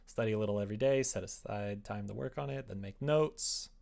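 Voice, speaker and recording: Young man chatting haltingly on a livestream. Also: on the low side, gravelly, darkish tone. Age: young adult. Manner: halting, thinking aloud, trailing off. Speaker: livestreamer writing a visual novel. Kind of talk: casual livestream chat while working. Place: small room at home, indoors.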